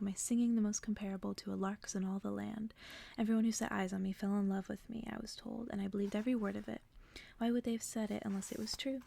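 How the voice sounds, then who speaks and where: A woman reads softly in a hushed ASMR manner. Her voice is mellow and creaky, often slipping into a breathy whisper, and it stays soothing throughout. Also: medium pitch. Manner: soft, whispery, slow and soothing delivery. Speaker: ASMR creator reading aloud. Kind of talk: ASMR bedtime story reading.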